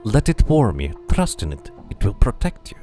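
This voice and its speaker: A man's voice: fairly low pitch, huge pitch swings, normal speed, warm tone, faint music behind. A man voicing a solemn stranger who has just regained his name, speaking in a formal, earnest way while reading a game aloud. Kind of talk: amateur game voice acting.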